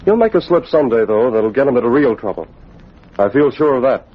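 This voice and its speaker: An actor playing an earnest, assured young newspaper publisher in old-time radio drama. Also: male voice, mid-range voice, animated, a little fast, husky. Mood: serious. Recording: dull old radio recording, faint noise.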